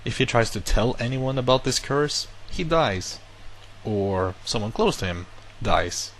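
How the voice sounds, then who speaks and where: A man's voice: mid-range voice, a little slow, a little hiss or hum. A man who is not a native English speaker, casually telling a long personal story, rambling and correcting himself as he goes. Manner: rambling, self-correcting, animated retelling. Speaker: vlogger. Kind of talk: casual monologue to camera.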